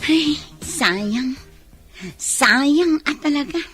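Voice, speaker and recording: A woman's voice: sits high, lively intonation, extremely slow, a bit bright, faint music behind, full character voice. A Filipino woman acting as an emotional, stubborn young daughter in a radio drama. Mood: wistful.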